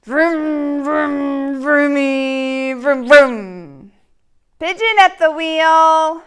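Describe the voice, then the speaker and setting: Woman putting on a high, pleading storybook pigeon. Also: very slow. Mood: excited, pleading. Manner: exaggerated, childlike begging and bargaining. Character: pleading, wheedling pigeon with a tantrum streak.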